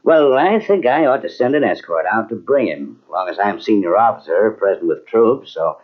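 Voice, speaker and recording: A genial frontier commander talks with easy, assured authority. The low voice rises and falls freely in an avuncular, old radio drama style. The recording is dull, like an aged cassette. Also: male voice, animated, moderate pace. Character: genial, confident frontier cavalry commanding officer.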